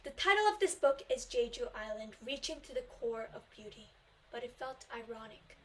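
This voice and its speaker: A woman's voice: on the high side, lively intonation, moderate pace. A Korean teenage girl, a native Korean speaker, delivering an earnest memorized speech in English at a student contest, stressing key phrases. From South Korea. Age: teens.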